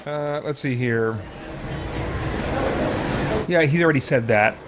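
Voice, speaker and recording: A man's voice: medium pitch, slow pace, noisy background. A man hosting a casual roleplay let's play, thinking aloud hesitantly as he comments. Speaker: gaming channel host.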